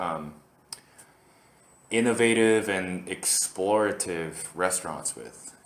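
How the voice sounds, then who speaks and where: A man's voice: low-pitched, roomy sound, a little hiss or hum. A man from Korea, hosting a casual solo podcast and rambling with lots of fillers and restarts. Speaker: podcast host.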